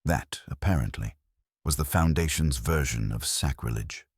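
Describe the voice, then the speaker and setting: A man's voice: very deep voice, moderate pace, slightly rough, no room sound, clean studio sound. A man narrating a first-person detective story in a wry, hard-boiled way. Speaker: audiobook narrator.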